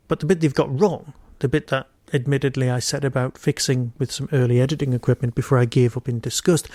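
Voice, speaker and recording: A man hosting a podcast, giving an informal solo review in a wry, digressive way with emphatic pauses. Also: fairly high pitch, a little mellow, dry recording.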